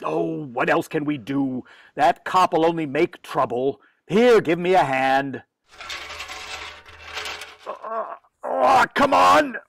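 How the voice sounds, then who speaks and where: Man playing a fussy, animated radio dad. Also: on the high side, takes it slowly, gravelly, slight background noise, full character voice. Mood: strained, exasperated. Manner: fussy, stammering when flustered. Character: flustered, exasperated father of a mischievous girl. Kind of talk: old-time radio comedy acting.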